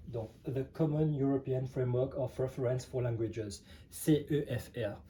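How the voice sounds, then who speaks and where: Mellow-voiced man teaching, full of fillers. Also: mid-range voice, unhurried, slight background noise, rough recording. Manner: hesitant, many filler sounds; relaxed, conversational explaining. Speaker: French teacher. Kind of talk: casual online language lesson.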